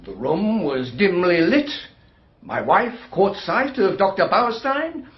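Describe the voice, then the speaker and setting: A man's voice: high voice, very animated, slow, roomy sound, highs cut off, exaggerated voice. A man playing a defensive, haughty British husband under suspicion in a radio drama, clipped and indignant. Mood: guarded. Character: stiff, defensive, haughty husband suspected of poisoning. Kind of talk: formal radio drama dialogue.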